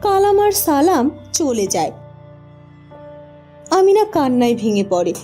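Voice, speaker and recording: Mellow woman narrating a story. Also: high voice, sing-song, slow pace, faint music behind.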